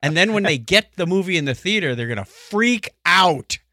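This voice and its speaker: A man co-hosting a casual podcast, reading listener comments aloud with a jokey, animated manner. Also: sits high, moderate pace, dry recording, studio quality. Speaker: podcast co-host.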